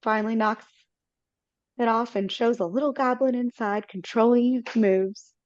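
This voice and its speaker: A woman's voice: on the high side, steady tone, moderate pace, darkish tone, no room sound. A woman reading the stage directions aloud in plain, matter-of-fact narration. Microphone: video call. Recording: dull dry video call audio.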